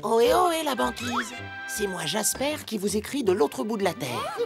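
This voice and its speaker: Excitable high-pitched little cartoon penguin. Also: female voice, moderate pace, over music. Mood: chirpy narrating. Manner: exclamatory, playful. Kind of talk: children's cartoon dialogue and letter narration.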